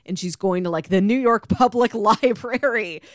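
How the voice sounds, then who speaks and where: A woman's voice: medium pitch, moderate pace, dry recording. Casual female podcast host, dry close sound. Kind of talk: casual book discussion chat.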